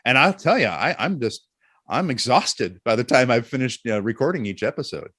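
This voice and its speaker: A man's voice: medium pitch, animated, fairly quick, a bit bright, no echo. A man with a mid-range voice speaks as an interview guest. He explains things in a thoughtful, conversational way, recorded close and dry. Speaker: voice actor and narrator, interview guest.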